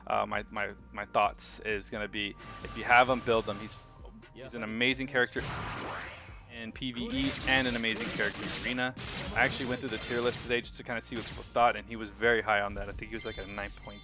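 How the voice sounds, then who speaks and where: A man's voice: lowish voice, level tone, fast talker, music in the background. A man giving casual, unscripted video game commentary, rambling and thinking aloud as host of the review.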